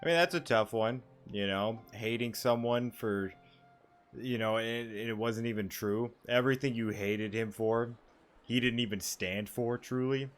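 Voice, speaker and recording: A man's voice: medium pitch, unhurried, soft background music. A young man giving casual, chatty commentary as a gaming streamer, reacting informally as he plays.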